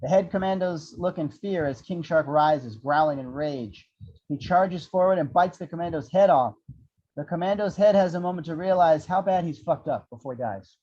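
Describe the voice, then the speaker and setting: A man's voice: on the high side, moderate pace, dark tone. A man narrating action descriptions at a script table read, with irreverent, wry asides. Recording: dull, lo-fi audio.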